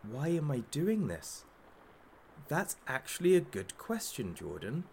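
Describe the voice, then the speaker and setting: An actor playing a menacing, sardonic talk show host in a satirical audio drama, with a theatrical, taunting manner. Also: male voice, on the high side, wildly swooping pitch, a little slow, gravelly, a little mellow, a little hiss or hum, heavily acted. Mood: menacing, gleeful.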